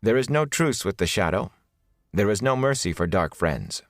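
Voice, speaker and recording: A man's voice: on the low side, moderate pace, no room sound, clean studio sound, voice pushed up, lightly acted. A man voicing a merciless, zealous soldier in an audiobook, hard, rigid and formal in manner. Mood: cold zeal. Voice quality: flat, hard. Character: zealous, merciless male soldier, outwardly deferential.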